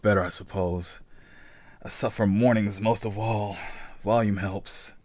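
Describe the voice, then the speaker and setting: Laid-back, low-voiced instructor. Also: male voice, fairly even intonation, unhurried, a little mellow, no room sound. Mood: weary. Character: laid-back, lazy male military instructor. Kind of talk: audio drama acting.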